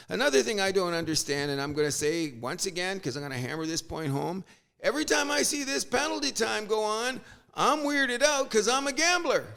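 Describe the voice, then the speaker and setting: A man's voice: sits high, vocal fry, a bit bright. A middle-aged man from Canada, ranting with exasperation and casual swearing as a sports podcast host. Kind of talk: opinionated sports rant.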